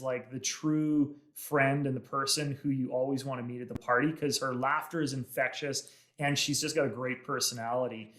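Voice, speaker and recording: A man hosting a podcast, enthusiastic and friendly, pausing often with "uh" as he introduces and questions his guest. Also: mid-range voice, a bit bright, echoey room. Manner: friendly, many "uh" pauses, enthusiastic. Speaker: podcast host. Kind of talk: casual interview chat.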